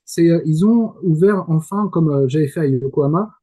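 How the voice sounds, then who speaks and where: A man's voice: on the high side, moderate pace, warm tone. A man in casual panel talk about anime, speaking as an enthusiastic fan who often searches for his words. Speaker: correspondent in Japan.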